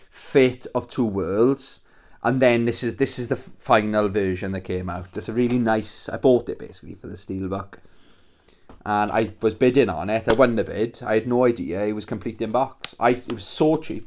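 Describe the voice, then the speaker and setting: Chatty Welsh man with a game collection. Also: medium pitch. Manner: chatty and enthusiastic, swears casually, many restarts. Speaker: YouTube channel host. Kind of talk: casual rambling video chat about his game collection.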